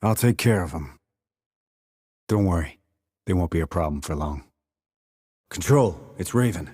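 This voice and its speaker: Low, husky military sniper. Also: male voice, animated, unhurried, a little mellow, no room sound, full character voice. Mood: businesslike. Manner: clipped radio-style reports, dry and controlled. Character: terse, dry, confident male military sniper on a mission. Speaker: video game voice actor. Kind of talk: scripted military game dialogue.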